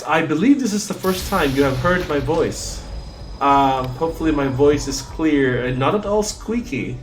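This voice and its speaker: A man whose first language is Cebuano, chatting and joking as he streams a game and reads its text aloud. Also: medium pitch. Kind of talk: let's play commentary.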